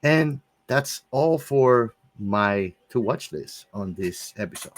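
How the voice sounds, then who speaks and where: A man's voice: medium pitch, lively intonation, slow, a little hiss or hum. A man co-hosting a podcast, chatting casually and informally with emphatic touches. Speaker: podcast co-host. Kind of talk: casual chat about anime and games.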